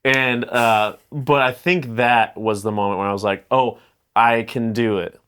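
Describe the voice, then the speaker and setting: A man's voice: mid-range voice, steady tone, unhurried, fairly bright tone, clean tone. A man in his thirties chatting casually as a podcast guest, loose and profane, often breaking off and restarting. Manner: loose, profane, self-interrupting.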